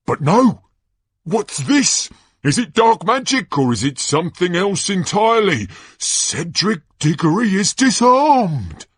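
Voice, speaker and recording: A flamboyant male tournament announcer from an audio storybook. The voice soars high and swoops widely, stretching big dramatic phrases into booming, gushing showmanship. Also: very animated, slow pace, slightly rough, dry recording, exaggerated voice. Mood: alarmed. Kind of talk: stage-play sports commentary read aloud.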